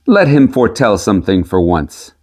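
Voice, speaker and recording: A man voicing a curious, gullible miller in a storybook, with emphatic, drawn-out delivery. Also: on the low side, sing-song, a little mellow. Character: curious, gullible, easily amazed male miller.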